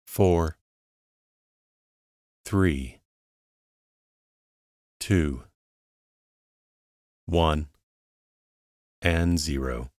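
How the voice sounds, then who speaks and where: A man with a low voice leads a guided relaxation. He speaks very slowly and calmly, with soothing, measured instructions and long pauses. The sound is dry, with no room around the voice. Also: dry recording. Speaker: guided meditation narrator. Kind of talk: slow guided relaxation narration.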